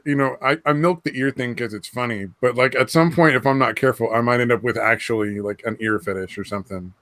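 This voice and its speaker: A man's voice: medium pitch, fast talker, fairly bright tone, dry recording. A man co-hosting a casual podcast from home over voice chat, crude and rambling, laughing often. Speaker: podcast co-host.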